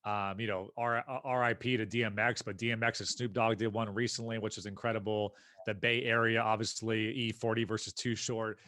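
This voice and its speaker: A man's voice: lowish voice, little pitch movement, brisk, hardly any pauses, sharp tone, no room sound, studio quality. A man with an American accent answering interview questions in a casual, emphatic, opinionated way as a podcast guest. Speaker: podcast guest, company founder and CEO.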